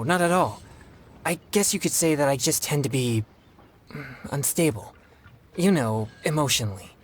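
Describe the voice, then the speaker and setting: An actor playing an earnest young exorcist in a video game, speaking politely and formally with hesitant pauses. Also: male voice, medium pitch, a little hiss or hum, natural voice. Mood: flustered. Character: earnest young male exorcist, excitable yet self-controlled.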